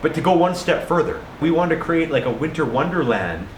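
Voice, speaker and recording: A man's voice: on the high side, expressive, a little fast, echoey room, a little hiss or hum, poor audio. A young man from Canada chatting casually and joking, laughing often and repeating himself as he explains a holiday project. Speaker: friend on a vlog. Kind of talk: casual chat.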